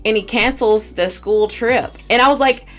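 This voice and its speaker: A woman's voice: a little high, normal speed, a bit bright, soft background music. A woman hosting a movie recap, giving scripted narration and commentary. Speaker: movie recap host and reviewer.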